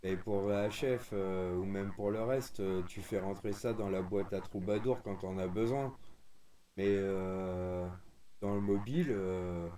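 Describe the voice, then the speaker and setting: A man's voice: sits low, unhurried, mellow. A man chatting casually and enthusiastically as a radio hobbyist, in an informal way. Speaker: radio amateur streaming a group conversation.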